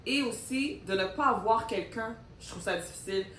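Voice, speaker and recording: A woman's voice: a little high, animated, normal speed, fairly bright tone, distant mic. A woman in her thirties with a Québécois accent, co-hosting a casual talk and speaking reflectively, with hesitations and English mixed in. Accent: Québécois French. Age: 30s. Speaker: co-host. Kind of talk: casual chat. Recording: echoey room with faint noise.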